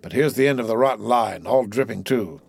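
A man voicing a gruff, wry old grey-haired sailor in an audiobook, muttering and grumbling. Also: on the low side, fairly quick, a little mellow, no echo.

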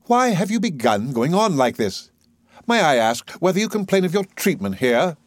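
A man's voice: medium pitch, sing-song, moderate pace, no room sound, studio quality, voice pushed up. A man playing an arrogant, impatient male professor with an imperious, condescending, exclamatory way of talking in a play reading. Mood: lofty, uneasy.

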